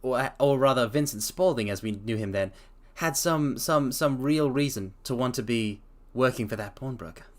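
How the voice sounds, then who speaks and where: A man's voice: medium pitch, moderate pace, few pauses. A man streaming a dramatic story reading, breaking off with enthusiastic, chatty asides to viewers.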